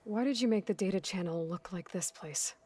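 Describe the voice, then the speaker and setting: An actress plays a young female warrior, protective and skeptical. Her voice is husky and sits at a medium pitch. She talks bluntly, probing and challenging, in the style of dramatic video game acting. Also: a little fast, dark tone, close to an everyday voice. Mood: probing, guarded.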